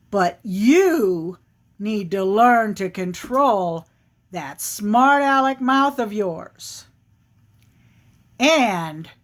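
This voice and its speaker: A woman's voice: mid-range voice, fairly bright tone. A woman voicing a stubborn, proud, grumpy big male bear in an animal folktale, with emphatic, blustering harrumphs. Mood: grudging, menacing.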